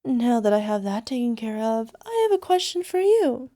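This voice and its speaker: Woman acting as yandere alchemist girlfriend, high-pitched, soft and coaxing, sweetly obsessive, ASMR roleplay, close dry recording. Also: very animated, moderate pace, no echo. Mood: smug, possessive. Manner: soft, coaxing, giggly, slipping into whispers. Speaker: voice actor. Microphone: close microphone, dry.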